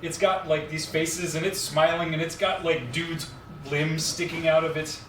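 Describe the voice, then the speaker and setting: Man, higher-pitched voice, casual rambling chat, hesitant, distant mic. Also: flat delivery, moderate pace. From Louisiana, USA. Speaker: guest on a charity livestream. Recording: roomy, distant mic with clear background noise.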